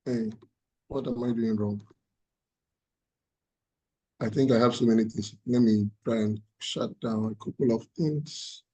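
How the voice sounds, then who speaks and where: A man from Ghana with a hoarse voice, presenting a seminar over a call and talking in a hesitant, apologetic way. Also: lowish voice, steady tone, a little slow, long pauses, warm tone, rough recording. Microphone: online call audio.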